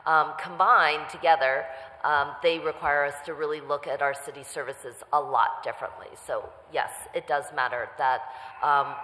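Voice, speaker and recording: A councilwoman speaking at a public hearing, measured, with frequent fillers. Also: deep voice, pushed and bright. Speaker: city councilwoman. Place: city council hearing room. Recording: echoey council chamber with long reverb.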